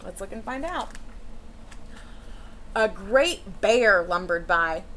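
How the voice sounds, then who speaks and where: Woman, animated teacher, children's read-aloud, lively swooping pitch, bright voice, expressive storytelling. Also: takes it slowly, a little hiss or hum. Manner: animated, with asides and questions to children. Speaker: teacher reading a picture book to young students.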